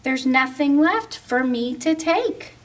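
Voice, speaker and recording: A woman's voice: high voice, expressive, slow, far from the mic. Woman reading as a grabby young dinosaur. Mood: pleading. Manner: sing-song rhyming lines.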